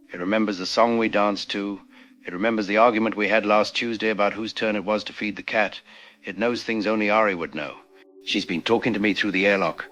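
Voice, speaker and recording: A man playing a grieving scientist recording a desperate, halting confessional log in an audio drama. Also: lowish voice, a bit bright, close to an everyday voice. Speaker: doctor and researcher.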